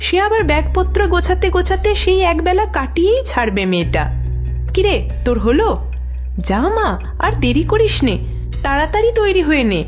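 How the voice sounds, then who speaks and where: A woman's voice: very high-pitched, big pitch swings, moderate pace, faint music behind. A woman playing a fussy, scolding, worried village mother in a horror cartoon, theatrical in delivery. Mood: hurrying, anxious. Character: nagging, worried village mother, exasperated with husband. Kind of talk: dramatised horror cartoon dialogue.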